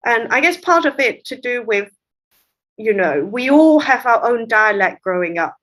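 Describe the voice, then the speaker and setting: A woman translator whose first language is Cantonese, explaining her work at length in a relaxed interview, with light laughs. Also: fairly high pitch, moderate pace, low-quality recording. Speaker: literary translator, guest. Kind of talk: conversational interview.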